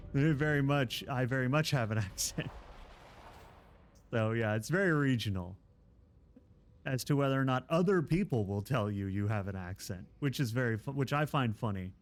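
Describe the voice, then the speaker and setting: A man's voice: medium pitch, a little hiss or hum. Rambling man chatting while streaming. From Texas. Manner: rambling, self-deprecating, chuckles often. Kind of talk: casual chat while gaming.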